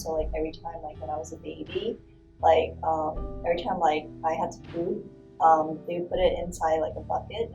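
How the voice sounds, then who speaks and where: Young woman from Vietnam, low-ish voice, loose filler-heavy storytelling, faint guitar bed. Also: poor audio. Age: young adult. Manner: casual, rambling with many fillers. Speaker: student interviewee. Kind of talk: personal storytelling.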